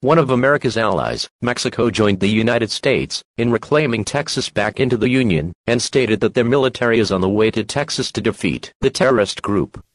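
A man's voice: on the low side, expressive, brisk, hardly any pauses, no room sound. Formal male emergency alert announcer, dry sound. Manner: formal, impersonal. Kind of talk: scripted emergency broadcast announcement.

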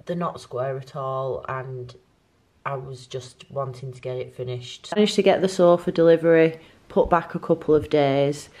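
A woman's voice: deep voice, a little mellow. A woman, talking casually to camera as a home vlogger in a hesitant, musing way. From North of England. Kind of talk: casual vlog talk to camera. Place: living room at home.

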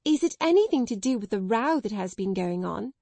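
A woman voicing an eager schoolgirl who is quick to gossip. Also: mid-range voice, lively intonation, gravelly, dark tone, dry recording.

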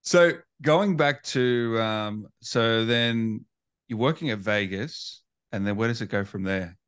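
A man's voice: lowish voice, slow pace, a bit bright, smooth. A man hosting an interview, asking casual questions and chiming in with short agreements. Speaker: podcast interviewer.

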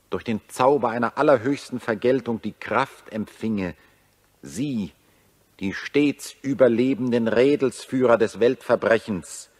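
An actor in a 1940s German radio drama playing an anguished, bitter satirist who accuses humanity, speaking in solemn, rhetorical declamation. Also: male voice, on the low side.

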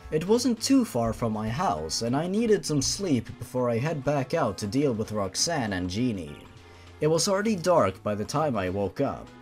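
A man reading a horror fan fiction story aloud with theatrical, exaggerated emphasis. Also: mid-range voice. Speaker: narrator. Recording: music behind the voice. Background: music.

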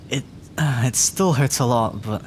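Casting: male actor as timid young male dragon for ASMR audio drama, slow and halting, rambling apologetically, nature noise behind him. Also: mid-range voice, natural voice. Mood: hushed, worried. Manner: stammering, hesitant, rambling, apologetic. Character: nervous, adorkable young male dragon, injured and frightened.

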